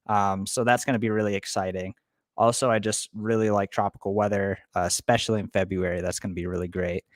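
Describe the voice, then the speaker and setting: A man's voice: lowish voice, fast, no echo. Laid-back young man hosting a live stream. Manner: relaxed, many ums, greets chat viewers. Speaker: livestream host. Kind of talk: casual live stream chat. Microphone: webcam setup.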